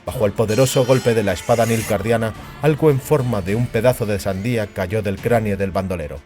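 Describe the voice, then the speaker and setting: A man's voice: lowish voice, brisk, a little mellow. A man narrating fantasy fiction as a dramatic, scripted audiobook. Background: background music.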